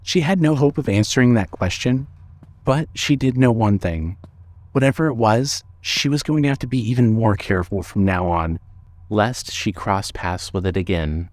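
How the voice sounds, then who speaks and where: A man narrating a scripted suspense story in a measured, dramatic storytelling manner. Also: lowish voice, dry recording.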